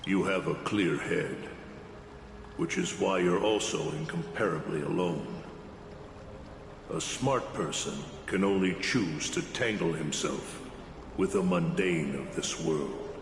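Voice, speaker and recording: Deep, gravelly old warrior lord. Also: male voice, slight background noise, full character voice. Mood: grave, pleading. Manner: grave, measured, formal. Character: weary, proud older warrior lord and mentor. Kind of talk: scripted fantasy game voice acting. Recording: echoey hall reverb, music behind.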